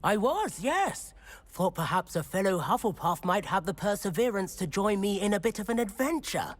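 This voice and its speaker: A woman's voice: mid-range voice, sing-song, moderate pace. A British woman playing a friendly, adventurous young witch student in a video game, chatty, with genteel phrasing. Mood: friendly, inviting. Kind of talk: scripted game dialogue.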